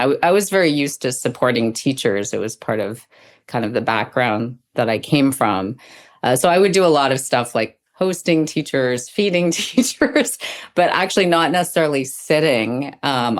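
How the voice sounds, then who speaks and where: Thoughtful middle-aged woman in conversation. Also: a bass voice. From Sri Lanka. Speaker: guest, dance teacher and Zen practitioner. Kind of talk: reflective conversational interview.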